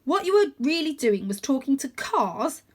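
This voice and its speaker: A woman's voice: high voice, big pitch swings, normal speed, pitched above the performer's own voice. A woman voicing a streetwise female cat in a children's storybook, blunt, incredulous and teasing. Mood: baffled, incredulous.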